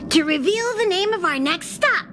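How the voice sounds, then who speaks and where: A little creature working as a train conductor in a video game. The voice is extremely high and cute, with an official, self-important way of announcing. Music plays behind it. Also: female voice, lively intonation, moderate pace. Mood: proud, official. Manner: formal, announcing. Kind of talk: video game character acting.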